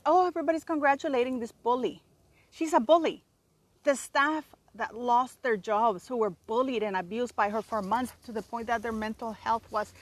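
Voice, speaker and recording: A woman's voice: fairly high pitch, no room sound. Emphatic Canadian woman, dry sound. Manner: emphatic, indignant, rambling with restarts. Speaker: channel host. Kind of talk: opinionated solo commentary.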